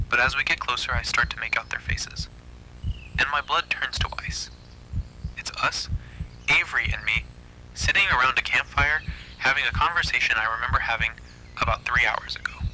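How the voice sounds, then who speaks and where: Male horror narrator, suspenseful and breathy, very bright tone, mid pitch, slight background noise. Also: normal speed, pauses often, rough recording. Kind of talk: scripted first-person horror narration.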